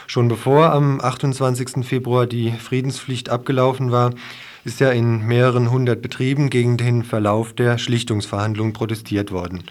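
A male radio presenter reads out a scripted news report. His voice sits at an ordinary middle pitch, and he delivers the piece as a studio newsreader would.

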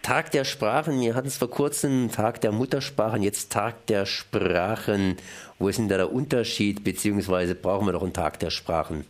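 A man interviewing a guest for radio, asking plain questions in a measured way from a studio. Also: fairly low pitch.

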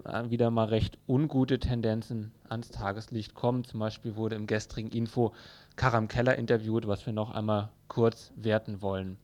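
A man's voice: mid-range voice, a little mellow. Man hosting an informal radio news magazine.

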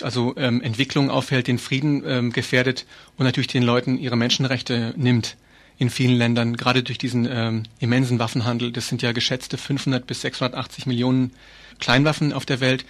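A man being interviewed as an activist, explaining things earnestly and informally with hesitant phrasing. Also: medium pitch.

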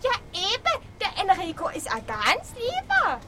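A well-meaning old grandmother played by a woman chides in a radio play. Her voice is high and swoops dramatically up and down. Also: wildly swooping pitch, unhurried, music in the background, heavily acted. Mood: chiding, fond.

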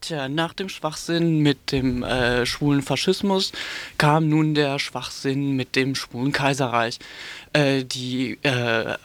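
A man giving critical radio commentary in a halting, sardonic and polemical manner, with frequent hesitations. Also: mid-range voice, slight background noise.

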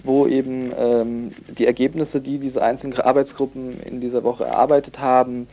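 A young man answers in an informal radio interview as a student activist. His speech is halting and hesitant, often searching for the next word. His voice sits at an average pitch. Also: little pitch movement, warm tone, poor audio. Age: young adult.

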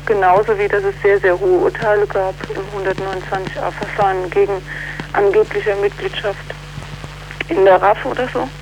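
Female activist interviewee, mid-pitched, halting and searching delivery, faintly noisy recording. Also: steady tone. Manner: halting, hesitant, often ending on question tags. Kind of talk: spontaneous political interview.

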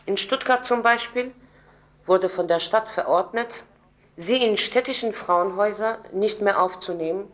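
A woman's voice: mid-range voice, moderate pace, a bit bright. A woman speaking publicly in a political address at a gathering, recorded live in a room. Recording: roomy, echoing event recording.

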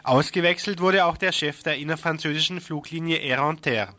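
A man's voice: a little high, brisk, pushed and bright, dry recording. A man reading a scripted radio news report, with a critical, ironic edge in his wording.

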